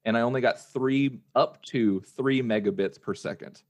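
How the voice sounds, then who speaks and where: A man's voice: medium pitch, normal speed, darkish tone. An American man chatting casually in an easygoing, conversational way. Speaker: podcast host. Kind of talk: casual chat.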